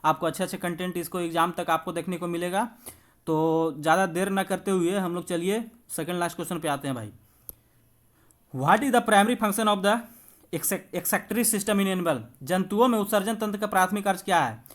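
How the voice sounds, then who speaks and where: High-pitched man teaching a quiz lesson. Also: moderate pace, a bit bright. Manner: instructive, addresses viewers familiarly as brothers and friends. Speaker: exam coaching teacher. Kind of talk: quiz walkthrough lesson with explanations.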